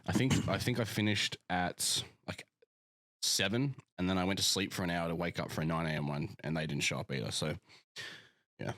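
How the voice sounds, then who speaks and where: A man's voice: sits low, fairly even intonation, brisk, fairly bright tone, no echo. A young Australian man, a physique coach, chatting casually and swearing freely on a podcast. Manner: laid-back, sweary, rambling.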